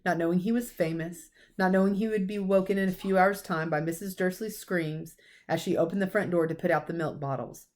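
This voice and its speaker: A woman with a fairly low voice reads a children's storybook aloud. She narrates expressively, as a teacher does for young listeners. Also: fairly even intonation, a little fast, few pauses, a little mellow.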